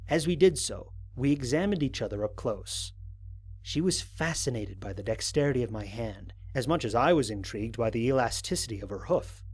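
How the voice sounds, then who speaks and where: Man acting an analytical explorer with lively intonation. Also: medium pitch, breathy edge. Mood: measured, intrigued. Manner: measured, formal, precise scientific diction. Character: analytical, dryly wry human explorer logging first contact. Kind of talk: scripted audio drama mission log narration.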